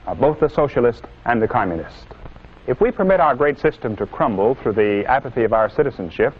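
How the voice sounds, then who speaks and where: An American man delivering an earnest, didactic scripted lecture in the manner of a 1950s propaganda film, with emphatic oratory. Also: medium pitch. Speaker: presenter lecturing on citizenship. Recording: dull lo-fi 1950s film soundtrack.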